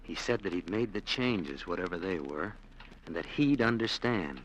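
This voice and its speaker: A cold, scheming nephew with a bright, tense voice. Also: male voice, deep voice, animated, moderate pace, slight background noise, poor audio. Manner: tense, clipped, coldly insistent. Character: scheming young nephew plotting murder. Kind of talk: 1940s radio acting.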